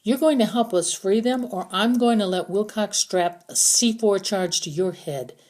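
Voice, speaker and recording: A woman's voice: mid-range voice, normal speed, darkish tone, pitched above the performer's own voice, lightly acted. A woman narrator plays a male squad leader in an adventure audiobook. He is firm and protective, giving orders and questions with authority. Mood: commanding. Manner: firm, commanding.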